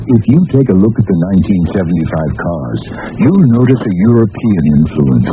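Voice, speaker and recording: A male announcer delivers a polished 1970s radio commercial in a low voice. The recording is thin and tinny. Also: very animated, brisk, music in the background. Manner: polished, promotional. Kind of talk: scripted 1970s radio car advertisement.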